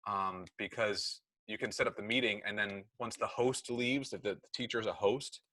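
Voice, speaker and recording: A man's voice: on the low side, fairly even intonation, normal speed, bright, forceful tone, no echo. A middle-aged American man chatting in a work meeting on a video call, explaining things and often correcting himself. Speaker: meeting leader, school technology administrator. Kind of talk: casual work meeting chat.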